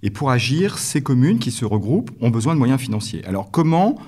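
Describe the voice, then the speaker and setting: A man's voice: medium pitch, very animated, brisk, a little mellow, breathy edge. A man hosting an educational podcast, putting prepared interview questions to his guest. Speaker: podcast host asking questions.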